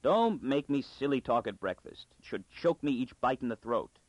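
A man voicing a plump, good-natured older husband in an audiobook, with folksy, excitable phrasing. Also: mid-range voice, moderate pace, no echo, highs cut off. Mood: irritable. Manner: folksy inverted syntax, excitable, defensive.

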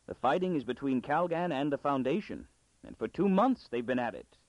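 Casting: hearty older husband played by a male narrator, folksy and excitable storybook figure, lively swooping pitch, dry close recording. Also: moderate pace, dry recording, lightly acted, low-quality recording. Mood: earnest, animated. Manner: folksy inverted syntax, excitable, defensive. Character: plump, good-natured, hearty older husband, a farmer-trader.

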